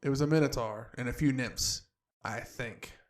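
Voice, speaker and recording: Earnest male fantasy operative acted by a man, mid-pitched, restrained, reporting dutifully to superiors. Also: moderate pace, lightly acted. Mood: guarded. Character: earnest, guarded young agent reporting to his superiors. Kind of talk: fantasy audio drama acting.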